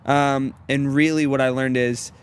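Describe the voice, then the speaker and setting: A man's voice: medium pitch, level tone, a little slow, slight background noise. A man in his early twenties from Louisiana, chatting easily in an interview and rambling with lots of "you know what I mean.". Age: 20s. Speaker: disc golf pro, interview guest. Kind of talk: casual interview chat.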